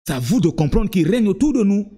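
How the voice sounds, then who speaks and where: Fervent preacher with a rising, sing-song voice. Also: male voice, very animated, a little fast, dark tone, husky. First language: not French. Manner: emphatic, swings from low asides to high sung-out cries; repeats words and restarts, talks to the listener directly. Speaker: apostle preaching. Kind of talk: sermon.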